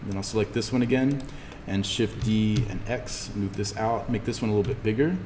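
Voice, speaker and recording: Low-voiced male instructor in an echoey room. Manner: halting, thinking aloud while demonstrating. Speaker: instructor teaching 3D animation software. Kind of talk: informal live in-class software tutorial. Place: classroom, indoors. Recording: echoey room with background noise.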